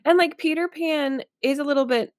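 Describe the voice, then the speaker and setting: A woman's voice: high voice, moderate pace, dry recording, studio quality. A woman chatting casually as a podcast co-host, with an informal, giggly manner full of filler words. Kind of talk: casual chat.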